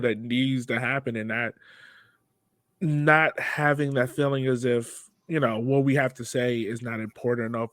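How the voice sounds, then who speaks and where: A man's voice: mid-range voice, dry recording. Man interviewing, dry close sound. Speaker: podcast host, interviewer. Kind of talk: casual conversational interview.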